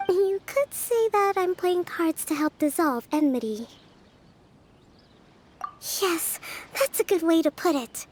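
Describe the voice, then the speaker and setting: A woman voicing a gentle, thoughtful young leader in a video game, speaking politely and earnestly in measured phrases, with music behind her. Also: very high-pitched, lively intonation, slight background noise, heavily acted. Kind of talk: video game dialogue acting.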